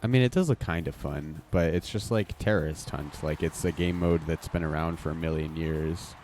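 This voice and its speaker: A man's voice: rumbling low, normal speed, a little mellow, slight background noise. A man streaming a game, reacting casually and swearing at the play as it happens. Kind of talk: live gameplay commentary.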